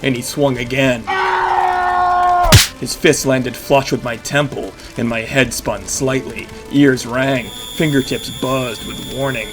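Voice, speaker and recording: Male actor as battered vigilante, grim first-person narration, intense, clipped halting phrases, mid-pitched, music underneath. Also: fairly even intonation, a loud crowd, lightly acted, low-quality recording. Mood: intense, brutal. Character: battered vigilante man narrating his own grim origin. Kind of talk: first-person dramatic audio drama narration. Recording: music behind the voice. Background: dramatic music.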